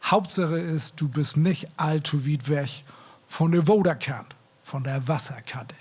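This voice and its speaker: A man's voice: a little high, a little slow, a little mellow, breathy edge. A man with a North German accent, a singer chatting to his audience between songs in a folksy way at a live concert. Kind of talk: stage banter between songs. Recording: live concert sound with music. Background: music.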